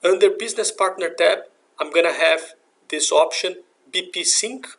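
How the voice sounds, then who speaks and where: A man narrating a software tutorial as an instructor, explaining methodically step by step. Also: high voice, normal speed, vocal fry. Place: voice-over for a screen recording.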